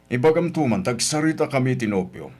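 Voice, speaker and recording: A man's voice: mid-range voice, animated, a little fast, a little mellow, lightly acted. A man acting a protective middle-aged father in a radio drama, speaking in a cautious, admonishing, paternal way.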